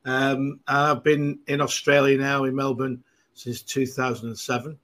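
A man's voice: mid-range voice, little pitch movement, moderate pace, a bit bright. A man in his sixties from Manchester with a Mancunian accent, a podcast guest chatting casually and rambling, with many fillers and restarts. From Manchester, England.

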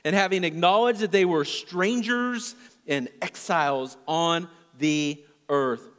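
A male pastor preaching a sermon in a church, his delivery earnest and swinging from loud emphasis to hushed, near-whispered lines. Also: fairly high pitch, takes it slowly, clear, resonant tone, in a large hall.

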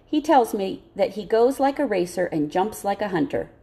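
Audiobook role: woman as a shifty, deferential farmer, a grown man, hushed and pleading, with creaky voice. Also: mid-range voice, sing-song, normal speed. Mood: anxious. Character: furtive, money-minded farmer, a grown man.

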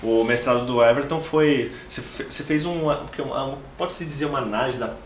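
A man's voice: mid-range voice, fairly quick, a little hiss or hum. A Brazilian man hosting a casual interview in an enthusiastic, upbeat way. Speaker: host. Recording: roomy, faint music behind.

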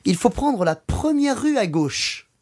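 A man's voice: very high-pitched, very animated, measured pace, darkish tone, exaggerated voice. A man playing a friendly local Frenchman giving helpful directions in a scripted language-course dialogue.

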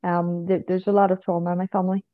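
A woman's voice: fairly low pitch, flat delivery, fast talker. A Northern Irish woman chats as an interview guest, repeating phrases and filling gaps with "you know". Her voice comes through thin and phone-like, with no room echo at all. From Northern Ireland. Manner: chatty, repeats words, tags sentences with "you know" and "so it is". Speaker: podcast guest, psychic medium. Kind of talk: casual interview chat. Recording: tinny phone-like line.

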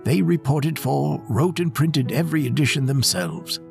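A man narrating a scripted children's story in an expressive, dramatic way. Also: on the high side, normal speed, gravelly, faint music behind.

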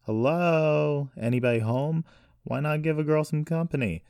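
A man's voice: mid-range voice, fast talker, darkish tone, no echo, studio quality, pitched above the performer's own voice, natural voice. A man voicing a defiant captive woman in an audiobook story, teasing and flippant to mask her fear. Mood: taunting.

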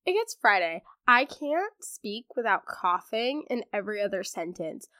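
A woman's voice: medium pitch, big pitch swings, unhurried, bright, forceful tone, dry recording, studio quality. A teenage girl hosting a podcast, sounding congested as she recovers from laryngitis, chatting casually with a sarcastic streak and lots of fillers. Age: teens. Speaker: podcast host. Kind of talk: casual chat.

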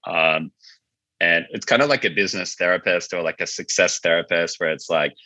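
A man with a deep but bright, forward voice talks casually as an interview guest. He explains his thoughts informally, working them out as he goes. The sound is close and dry, a little muffled and lo-fi. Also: fairly quick, no room sound. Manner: informal, explanatory, thinking aloud. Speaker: podcast guest, marketing agency founder.

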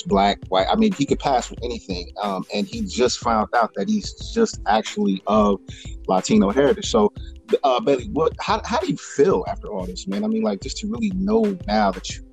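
Male podcast host, gravelly and lowish, jokey and loose, faint music under. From Richmond, California. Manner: animated, jokey, many fillers and restarts. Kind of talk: casual podcast conversation.

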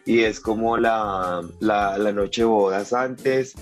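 Hoarse, congested campy male host. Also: lowish voice, steady tone, slow pace, faint music behind. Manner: campy, playful, uses feminine slang. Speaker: podcast co-host. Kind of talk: casual podcast chat.

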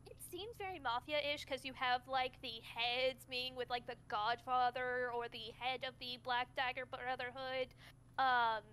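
A woman's voice: sits high, steady tone, unhurried, sharp tone, clean tone. A young woman co-hosting a podcast, chatting casually with a jokey, scattered manner and plenty of fillers. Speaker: podcast co-host. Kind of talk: casual chat.

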